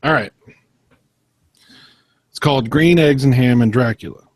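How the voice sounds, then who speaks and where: A man co-hosting a podcast, moving between self-deprecating chat and deliberate, dramatic story reading. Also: medium pitch, no room sound. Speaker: podcast co-host.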